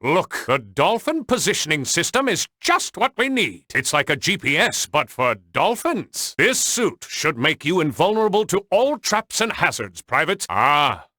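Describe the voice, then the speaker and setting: A man voicing a brainy, excitable cartoon penguin scientist in a video game, speaking with precise, technical and theatrically earnest delivery. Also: fairly high pitch, a little slow, hardly any pauses, no echo, studio quality, heavily acted. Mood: eager, explanatory. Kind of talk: animated cartoon game dialogue.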